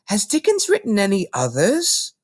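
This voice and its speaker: A man's voice: high-pitched, wildly swooping pitch, normal speed, slightly rough, dry recording, clean studio sound, pitched above the performer's own voice, heavily acted. A man voicing a small bookish girl who is polite, earnest and eager, in a children's storybook reading.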